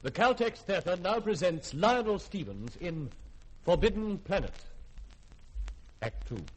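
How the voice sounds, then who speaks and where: A man's voice: high voice, sing-song, unhurried, slightly rough. A man announcing a radio theatre programme in a formal, old-fashioned broadcast style. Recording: noisy vintage radio broadcast.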